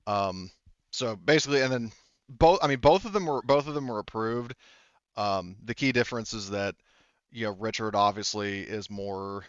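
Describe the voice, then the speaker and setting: A man speaking as game master, improvising narration casually, haltingly, often circling back to rephrase. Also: lowish voice, clean tone, no echo, highs cut off.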